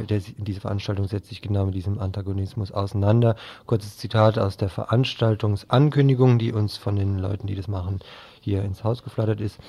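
Male local radio presenter, fairly low voice, reading event notices. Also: dark tone.

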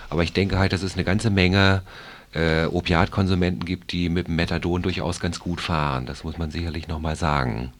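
A man speaking as an expert in a discussion, explaining things in a halting, deliberate way and qualifying his points. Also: low voice, fairly even intonation. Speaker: drug treatment programme clinician.

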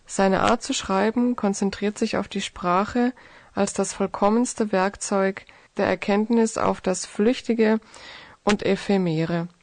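Female radio co-host, medium pitch with noticeable vocal fry, scripted presentation. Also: fairly even intonation, takes it slowly, a little mellow, dry recording.

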